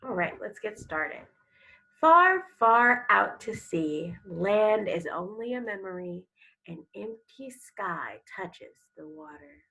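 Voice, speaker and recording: Woman, elementary teacher, very gravelly fry, slow pace, read-aloud lesson, instructional and prompting. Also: mid-range voice, sing-song, pushed and bright, rough recording. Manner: instructional, addresses children with prompting questions.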